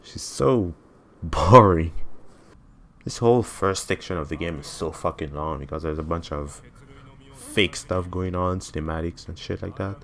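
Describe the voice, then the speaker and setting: Low-voiced young man with a French accent. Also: a little slow, creaky, a bit bright, a little hiss or hum. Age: 20s. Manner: blunt, swears freely. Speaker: gamer. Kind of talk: casual gameplay commentary.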